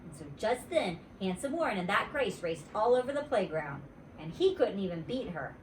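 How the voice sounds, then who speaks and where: A woman, a teacher, reading a children's story aloud in an expressive storytime style. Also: mid-range voice, normal speed. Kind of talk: animated storybook read-aloud. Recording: roomy, distant mic, faint noise.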